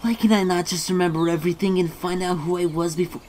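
A woman voicing a bewildered, irritable amnesiac young Pikachu in an animated comic dub, exasperated and sarcastic. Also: lowish voice, fast, a little hiss or hum, close to an everyday voice. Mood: confused, muttering.

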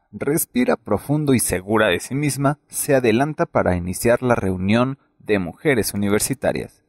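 Guy narrating a radio soap, lowish voice, dry studio sound. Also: unhurried, dry recording.

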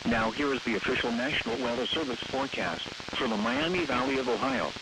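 A synthetic American male voice reading scripted emergency weather bulletins in a measured, impersonal manner over the radio. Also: medium pitch. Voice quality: synthetic text-to-speech voice. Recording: hissy, staticky weather radio broadcast.

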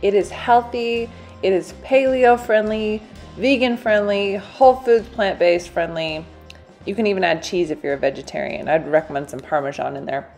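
A woman in her thirties, a cooking channel host, chatting brightly through a recipe in a home kitchen. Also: medium pitch, normal speed, soft background music. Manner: bubbly, chatty, addresses viewers as "you guys". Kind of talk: recipe demonstration, voice-over and to camera.

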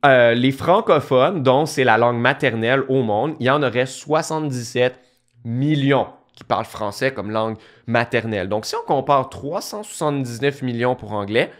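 A young man with a Québécois French accent, explaining in a casual talking-head video from a small home studio, lively and gesturing, restarting phrases as he thinks. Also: mid-range voice, normal speed. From Quebec. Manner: animated, gestures a lot, thinks aloud with restarts. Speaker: online course creator. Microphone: studio mic on boom arm beside him.

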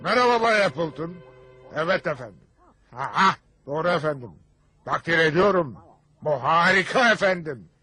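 A man's voice: on the high side, lively intonation, moderate pace, sharp tone, husky, heavily acted. A man voicing a blustering, big-hearted middle-aged father in a language cartoon dub, with theatrical delivery. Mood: proud, commanding. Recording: music under the dialogue.

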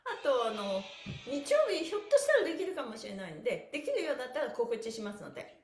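Animated high-pitched woman leading exercise. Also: quick pace, soft background music. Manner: animated, cheerleading, frequent laughing. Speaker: stretching instructor and livestream host. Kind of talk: live exercise class, counting aloud and chatting with viewers. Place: small bare-walled indoor room with wooden floor. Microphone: camera a metre or two away. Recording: echoey room.

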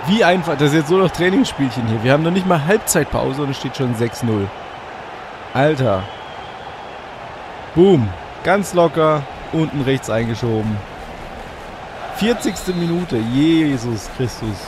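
A slightly congested man with a fairly high voice commentates his football game session from home and breaks into excited shouts at every goal. A fan whirs clearly behind him throughout, and he talks into a desk microphone. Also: unhurried, long pauses, crowd noise. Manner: excitable, bursts into shouts at goals. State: a bit congested with a cold. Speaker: gaming channel host. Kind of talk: let's play commentary. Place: hot room at home with a fan running. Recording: audible fan noise.

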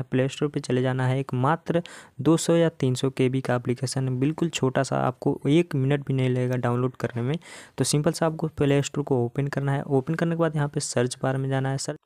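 A man's voice: medium pitch, darkish tone, no echo. A man with an Indian accent giving a casual, step-by-step phone tutorial, addressing viewers directly as he instructs. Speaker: tech tutorial host.

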